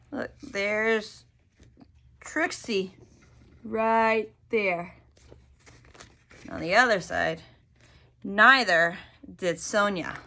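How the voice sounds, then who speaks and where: A woman teacher reading a picture book aloud to young children, enunciating deliberately and prompting them with questions. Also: medium pitch, vocal fry, pushed and bright.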